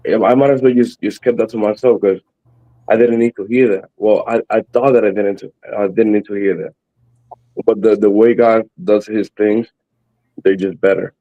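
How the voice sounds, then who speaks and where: A young man whose first language is Spanish, speaking earnestly in English as a podcast guest, with halting restarts. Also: on the low side, mellow, lo-fi sound. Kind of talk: earnest faith testimony.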